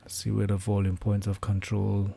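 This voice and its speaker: Hoarse man, low voice, flat tone, casual trading commentary, many fillers. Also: normal speed. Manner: relaxed, thinking aloud with many fillers. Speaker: trading livestreamer.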